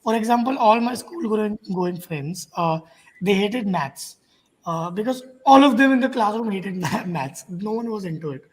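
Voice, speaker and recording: A woman's voice: mid-range voice, lively intonation, moderate pace, darkish tone, breathy edge, rough recording. Young woman hesitantly sharing experience. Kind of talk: casual personal account on a panel.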